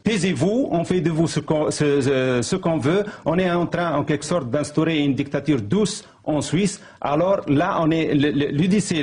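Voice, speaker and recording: A man whose first language is Arabic, speaking as a guest in a televised debate, halting, with many pauses and restarts. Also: on the high side, a little mellow, breathy edge.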